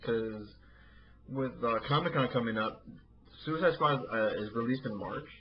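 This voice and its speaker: A man's voice: medium pitch, moderate pace, dull audio. A man co-hosting a casual movie chat podcast, rambling with lots of fillers and restarts. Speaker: podcast co-host.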